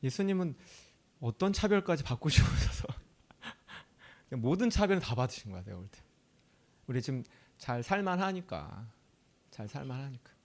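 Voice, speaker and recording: A man's voice: medium pitch, very animated, moderate pace, pauses often, a little mellow. A male pastor from Chungcheong, South Korea, preaching a sermon in a conversational way. He often asks the audience questions and laughs easily.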